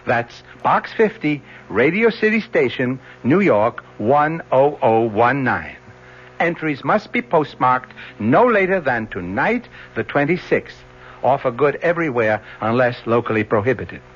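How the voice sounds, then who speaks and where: A man's voice: mid-range voice, sing-song, a little slow, breathy edge. A man reading a scripted radio announcement as the show's producer. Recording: muffled old radio broadcast.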